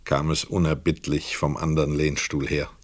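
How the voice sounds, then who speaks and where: A man's voice: very deep voice, level tone, moderate pace, no echo, clean studio sound. A man narrating a literary crime radio play from a script.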